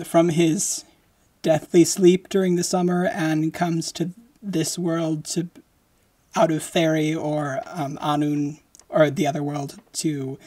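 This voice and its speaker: Young adult solo podcaster, mid-pitched, slow and halting, close-mic home recording. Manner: halting, many fillers and restarts; speaks slowly with lively hand gestures. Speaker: podcast host. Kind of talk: casual solo podcast chat. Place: decorated home room, indoors. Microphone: large studio mic close in front.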